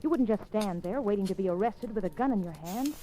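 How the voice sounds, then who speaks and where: Wanted: actress for an earnest young woman reporter, caring and hesitant, mellow voice, noisy vintage radio drama. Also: mid-range voice, expressive, fast talker, natural voice. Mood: eager, determined. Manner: pleading, sincere, hesitant. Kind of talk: 1940s radio acting. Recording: noisy old radio recording, music behind.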